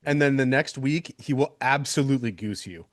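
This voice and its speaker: A man's voice: mid-range voice, moderate pace. A man co-hosting a casual sports podcast in an easygoing, chatty way. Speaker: podcast co-host.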